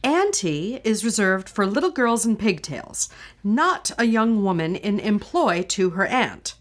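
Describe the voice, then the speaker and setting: An actress playing a cold, scheming wealthy wife in an old-time radio drama, haughty and scolding. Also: female voice, mid-range voice, big pitch swings, a little slow. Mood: scornful.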